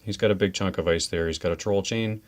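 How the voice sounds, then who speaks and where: A man's voice: low voice, fairly even intonation. A man hosting a tabletop wargame show, chatting casually and enthusiastically about models, dice and rules. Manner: chatty, enthusiastic, quick asides. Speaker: host of a tabletop wargame channel.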